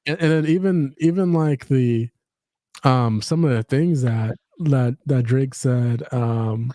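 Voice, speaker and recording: Rambling, mellow-voiced man hosting a chat. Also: medium pitch, slow, no room sound. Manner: rambling, many fillers and restarts. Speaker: podcast host. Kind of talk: casual chat.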